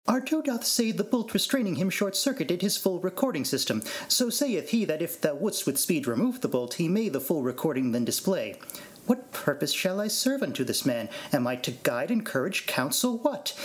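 A man's voice: on the high side, big pitch swings, moderate pace. A man playing a fussy, anxious robot servant in formal, theatrical archaic phrasing, in an amateur Shakespearean reading. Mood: officious.

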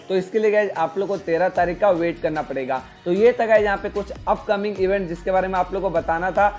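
A man's voice: sits high, darkish tone. A young man explaining game events as a video host, constantly addressing viewers with repeated filler phrases. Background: background music.

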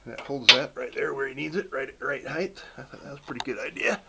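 A man giving a relaxed, folksy walk-through of a small backyard wooden workshop, filming on a handheld camera. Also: medium pitch, fast talker, vocal fry, very noisy. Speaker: channel host filming a shop tour. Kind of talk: casual narrated shop tour.

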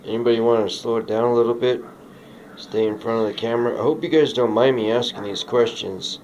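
A man filming in his dark house, talking softly and friendly to orbs he hopes are there, his voice a little hoarse. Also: mid-range voice, fairly even intonation, brisk. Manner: talks softly to unseen orbs, friendly greetings. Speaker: vlogger filming orbs at home. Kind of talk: casual talk to the camera. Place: dark rooms of a house, indoors. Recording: dull lo-fi camera audio with noise.

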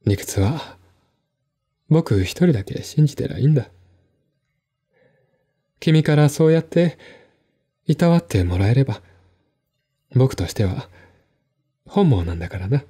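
A man acts out a melancholy gentleman in a radio drama. His voice is mid-pitched, dark and rather rough-edged. He confides earnestly, pleading like someone troubled. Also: expressive, unhurried, pauses often. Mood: resigned, grateful.

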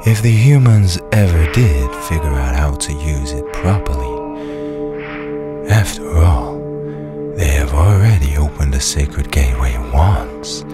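Extremely deep-voiced demon counsellor. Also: male voice, expressive. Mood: cautious. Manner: measured, deliberate. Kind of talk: radio play acting. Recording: loud music over the voice.

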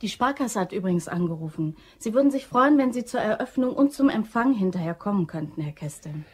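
A robber's accomplice in a crime radio play. Her voice is husky and mid-pitched. She comes across as an anxious but eager office worker. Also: expressive, brisk. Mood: guarded. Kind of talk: German crime radio drama acting.

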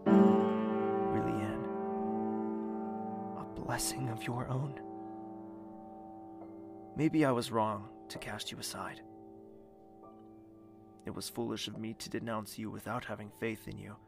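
A man playing a brooding, tormented young nobleman with a gravelly voice in an audio drama. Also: lowish voice, steady tone, slow pace, pauses often. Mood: remorseful. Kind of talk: slow dramatic narration and monologue, audio drama. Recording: music behind the voice.

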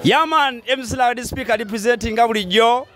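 A Ugandan man hosting street interviews in an open-air market, animated and bantering, often repeating himself. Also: very high-pitched, fairly quick, over loud music, over a noisy crowd. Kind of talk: lively vox-pop presenting.

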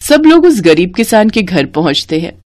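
A woman's voice: fairly low pitch, very animated, moderate pace, darkish tone, no room sound, studio quality. A woman reading a scripted story as its narrator.

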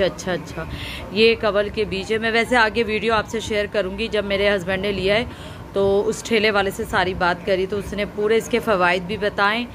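Woman vlogger chatting away while showing stuff, noisy background. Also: medium pitch. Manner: chatty, explaining as she shows things. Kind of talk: casual home vlog chat.

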